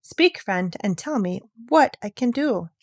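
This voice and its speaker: A woman's voice: medium pitch, expressive, a little slow, no echo, clean studio sound. A woman voicing a grateful, courteous father in a storybook, speaking formally and from the heart. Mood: heartfelt gratitude. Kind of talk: storybook read-aloud.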